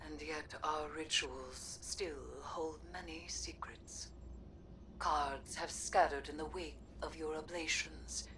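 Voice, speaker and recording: An actress playing a solemn, cryptic witch in a video game, speaking deliberately, like an incantation, often near a whisper. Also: low voice, steady tone, pushed and bright, distant mic, slight background noise, full character voice, low-quality recording. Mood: mysterious, reverent. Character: cryptic, solemn witch versed in dark magic. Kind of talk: dramatic video game narration.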